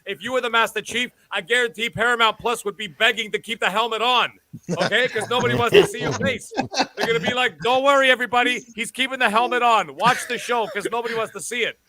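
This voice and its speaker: A man on a gaming podcast panel, loudly trash-talking and teasing a friend in a mock roast. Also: extremely high pitch, quick pace, crowd noise. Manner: loud, teasing, over-the-top insults.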